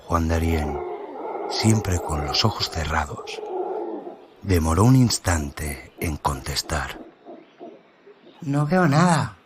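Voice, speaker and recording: A man's voice: rumbling low, animated, takes it slowly. A man narrating a literary story in a dramatic radio style, over a noisy ambient background.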